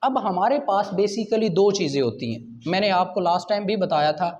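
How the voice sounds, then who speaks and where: High-pitched Pakistani male law teacher, muffled audio. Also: animated, moderate pace, a little mellow. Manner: didactic, asks questions and answers them himself. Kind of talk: explanatory exam-prep lecture mixing Urdu with English legal terms. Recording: dull lo-fi lecture audio.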